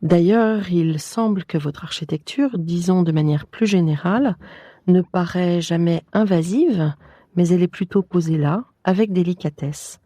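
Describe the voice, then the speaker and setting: A woman hosting an architecture podcast interview, reading her introductions and questions in a poised, measured way. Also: lowish voice, mellow, no echo, clean studio sound. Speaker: podcast host.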